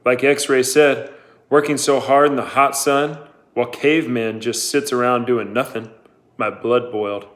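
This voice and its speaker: A man's voice: mid-range voice, fairly even intonation, unhurried, fairly bright tone, lightly acted. A man reading aloud, voicing a sullen teenage boy who grudgingly repeats an excuse.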